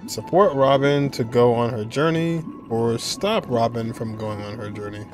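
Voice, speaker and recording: A young man reacting to a game, relaxed and musing, laughing often. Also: mid-range voice, moderate pace. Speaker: gaming reaction streamer. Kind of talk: casual reaction commentary. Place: indoor room at desk. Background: game music.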